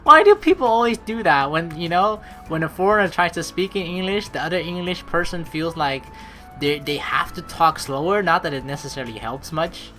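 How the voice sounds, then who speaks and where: A woman's voice: fairly low pitch, expressive, brisk, a bit bright. A young woman hosting a let's play, reading game narration aloud and commenting expressively in blunt, sweary language. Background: game music.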